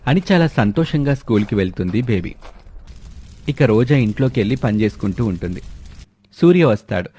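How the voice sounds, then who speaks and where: A man narrating a children's moral story in a steady, plain way. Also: mid-range voice, brisk, long pauses, slightly rough.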